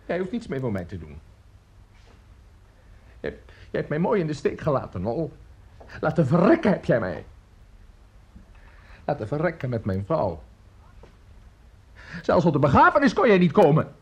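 An actor in a radio drama playing a bitter, drunken old widower, rambling and halting as he repeats his words in self-pity. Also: a little high, wildly swooping pitch, husky, heavily acted. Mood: bitter, aggrieved. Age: older.

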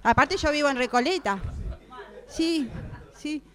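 A woman's voice: high voice, a little slow, a bit bright. A woman acting a pushy, chatty upscale estate agent in an improvised radio drama, with an affected posh, slangy Buenos Aires accent. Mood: insistent, exasperated. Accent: Buenos Aires Argentine. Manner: affected posh slangy talk, calls client 'gorda'. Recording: clear mains hum noise.

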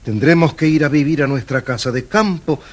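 An actor playing a kindly merchant father who speaks gently to his children. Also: on the high side, fairly quick, natural voice. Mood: dismayed.

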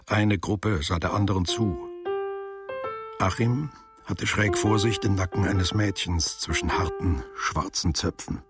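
An actor playing a reflective narrator who tells a literary radio drama in a measured, precise, deliberate way. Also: male voice, deep voice, over loud music. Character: reflective male author-narrator recounting a boy's postwar memories.

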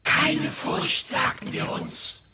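A man's voice: slow pace, pushed and bright. An actor playing a defiant citizen of plague-time London, chanting his testimony in a 1960s German radio play. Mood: anxious denial. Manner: declamatory, chanting. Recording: echoey, distant from the mic.

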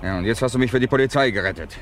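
A man's voice: medium pitch, rapid-fire, a little hiss or hum, natural voice. An actor playing an insistent, defiant man on the run in a radio crime play. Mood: weary.

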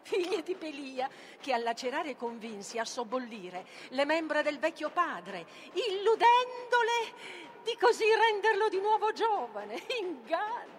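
Stage tragedy casting: doomed sorceress bent on ruin, high-pitched, unhurried, volatile and declamatory, faint noise behind. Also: female voice, very animated. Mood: scornful. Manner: declamatory, volatile, swings from whispers to cries. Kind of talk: theatrical tragic monologue, declaimed dramatic reading.

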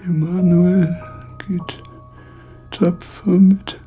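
An actor playing a frail old philosopher of nearly eighty in a radio drama, his mind wandering, speaking in halting, repeated fragments with a husky voice. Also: high-pitched, level tone, warm tone, soft background music. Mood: feeble, brave. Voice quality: husky, creaky, thin. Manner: halting, broken phrases with repetitions.

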